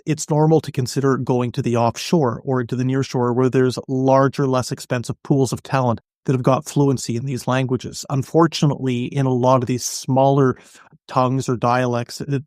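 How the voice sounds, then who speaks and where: A man giving explanatory interview answers as an expert podcast guest, articulate, measured and businesslike. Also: mid-range voice, fairly even intonation, a little mellow, no echo, studio quality. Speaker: podcast guest, industry analyst.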